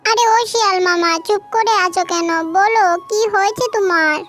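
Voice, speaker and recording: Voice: very high-pitched, steady tone, normal speed, a bit bright. A performer voicing a trusting mother duck in a children's cartoon, with a childlike, plaintive delivery. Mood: curious. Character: trusting female duck, anxious mother guarding her eggs. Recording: music bed behind the voice.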